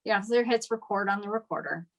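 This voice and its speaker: A woman's voice: medium pitch, quick pace. A woman reading scripted stage directions as narrator at a table read.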